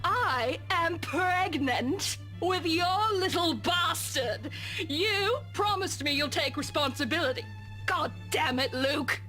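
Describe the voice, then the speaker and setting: Actress for a shrill, drunk, foul-mouthed pregnant woman, slow and sharp-edged. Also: expressive, soft background music, exaggerated voice. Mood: drunk rage. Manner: shouting, cursing, slurred. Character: drunk furious pregnant woman, loud and crude. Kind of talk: game voice acting.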